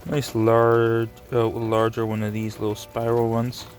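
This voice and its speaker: A man casually narrating his rock hunt on a rainy beach outdoors, relaxed and musing, thinking aloud with small restarts. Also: mid-range voice, unhurried, faint music behind. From Canada. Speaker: rockhounding vlogger.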